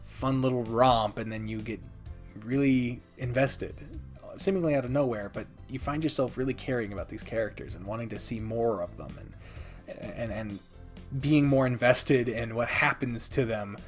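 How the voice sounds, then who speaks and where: Man, medium pitch, video game commentary, heartfelt delivery, dramatic emphasis, casual profanity, soft background music. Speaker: video game reviewer counting down favorites. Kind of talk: enthusiastic video commentary, part scripted, part off the cuff.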